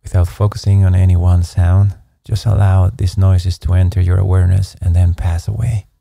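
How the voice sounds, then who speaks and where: A man guides a meditation in a low, very dark and mellow voice. His delivery is calm and measured, staying even in pitch from phrase to phrase, with soft instructions given one step at a time. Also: gravelly, no echo.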